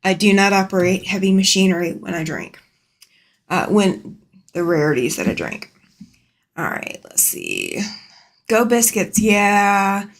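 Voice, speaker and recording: A woman's voice: mid-range voice, very animated, measured pace, long pauses. A woman from Alabama, chatting casually on a live stream from her home sewing room as she rambles and answers viewers' comments aloud.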